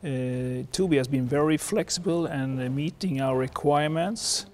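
A middle-aged man with a Swedish accent speaks at an average pitch, explaining things as an expert in a seated interview. His phrasing is measured and slightly halting, as a non-native speaker. Faint background noise sits under the lapel-mic recording. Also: a little mellow. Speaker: air traffic researcher and former controller. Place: indoor seated interview in a lounge or office.

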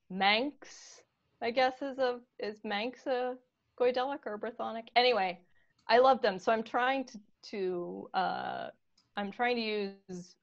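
A woman's voice: mid-range voice, lo-fi sound. A middle-aged woman chatting as a guest on a video call from home over earbuds, playful and teasing, laughing easily. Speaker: guest lexicographer. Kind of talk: casual video-call chat. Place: home room. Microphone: earbud headset on video call.

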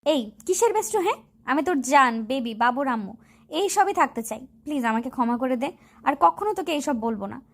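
High-pitched feisty college girl played by a woman. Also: expressive, fairly quick. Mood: pleading. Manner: scolding and pleading. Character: feisty, bossy college girl in love with her friend. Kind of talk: acted romantic audio story dialogue.

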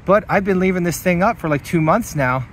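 A man's voice: high voice, fairly quick. Enthusiastic man vlogging with background noise. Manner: enthusiastic, plainspoken. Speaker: hobbyist vlogger. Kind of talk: casual hands-on demonstration. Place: inside a parked vehicle and outdoors in a driveway. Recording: clear background noise from car and outdoors.

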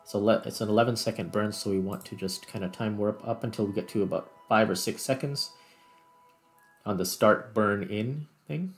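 Low-voiced man narrating his gameplay. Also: moderate pace, faint music behind. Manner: thinks aloud while playing. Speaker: gaming video host. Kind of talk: casual gameplay commentary.

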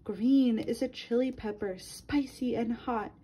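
A woman's voice: on the high side, unhurried, mellow, a little hiss or hum. A woman reading a picture book aloud to children in a sing-song manner, addressing her young listeners directly.

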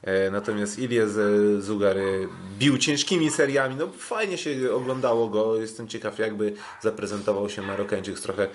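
A man hosting a sports podcast, commenting on kickboxing bouts in a halting, hesitant way. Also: lowish voice, fairly even intonation, a little hiss or hum.

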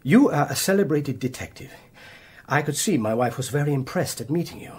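An actor playing a smooth, anxious, polite male doctor with a formal, measured manner in a radio play. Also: fairly high pitch, lively intonation, a little mellow. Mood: earnest, concerned.

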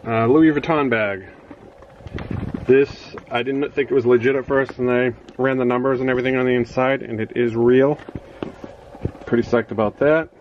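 A middle-aged man giving a casual, halting show-and-tell in a small indoor room on a handheld camera. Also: mid-range voice, fairly even intonation, highs cut off. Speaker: reseller showing storage unit finds. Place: small cluttered home office, indoors. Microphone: handheld phone or camera. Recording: noisy handheld home recording.

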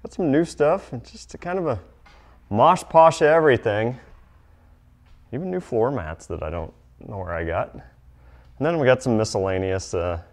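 A man's voice: mid-range voice, expressive, normal speed, pauses often. A man in his forties filming a casual vlog, chatty and upbeat, with plenty of fillers. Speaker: vlogger, truck parts seller. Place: garage, driveway and large exhibition hall.

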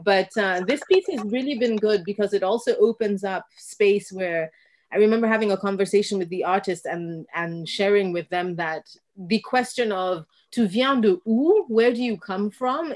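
A middle-aged woman from Kenya speaks on a video call from a room at home, picked up by a laptop mic. She talks in a reflective, lively way and acts out the conversations she recalls. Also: mid-range voice. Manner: reflective, animated storyteller who acts out quoted exchanges. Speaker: dancer and guest.

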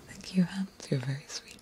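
A young woman whispering softly as she chats with viewers of her live stream. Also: on the low side. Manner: soft unvoiced whisper throughout. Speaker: ASMR creator reading live chat.

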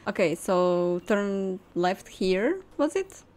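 A young woman hosting a video game playthrough, chatting casually in an excitable way. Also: mid-range voice, slow pace. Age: young adult. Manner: giggly, excitable. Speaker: gaming video host. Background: game music.

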